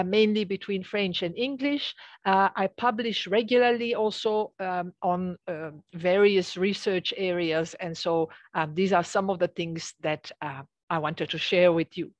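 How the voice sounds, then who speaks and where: A woman's voice: medium pitch, no room sound, lo-fi sound. Dry-sounding woman presenting, from Belgium. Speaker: associate professor, guest speaker. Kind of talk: online academic presentation. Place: small office with bookshelves, indoors. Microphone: headset on a video call.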